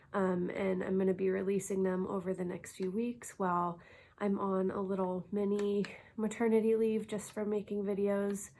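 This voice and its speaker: A woman's voice: mid-range voice, darkish tone. A young woman realtor talking casually and directly to her viewers, stuffy and congested, with frequent ums. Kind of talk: casual educational vlog.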